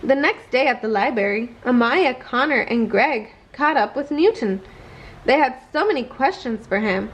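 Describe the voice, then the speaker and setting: A woman reading a children's story aloud in an expressive bedtime read-aloud style. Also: fairly high pitch, moderate pace, rough recording. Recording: clear background noise.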